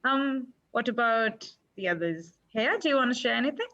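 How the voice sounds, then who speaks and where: A woman's voice: on the high side, expressive, normal speed, sharp tone. Young woman, webinar moderator, encouraging, muffled video-call sound. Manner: encouraging, asks follow-up questions. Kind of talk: moderating an online panel.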